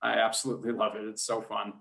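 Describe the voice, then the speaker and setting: A man's voice: on the low side, completely flat delivery, fast talker, gravelly, a bit bright. A man who works as a sports announcer, talking casually as a guest in a hesitant, rambling, good-humored way. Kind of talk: casual chat.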